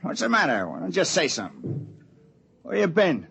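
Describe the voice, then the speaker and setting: Crude griping spaceman, scornful slang, lively swings, gravelly, vintage radio drama with background noise. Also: high-pitched, normal speed, heavily acted. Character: loudmouthed, grumbling, crude spaceman with sore feet. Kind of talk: 1950s radio drama acting. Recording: noisy vintage 1950s radio recording.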